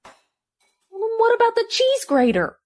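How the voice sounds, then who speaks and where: A woman's voice: very high voice, wildly swooping pitch, measured pace, darkish tone, exaggerated voice. A woman playing an incredulous kitchen companion and announcer in a comic podcast promo.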